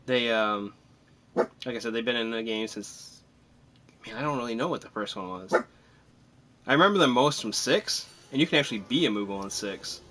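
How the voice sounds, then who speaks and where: A man's voice: mid-range voice, normal speed, long pauses, bright, forceful tone. A man casually commentating on his live game stream, chatty and self-deprecating, thinking aloud as he plays.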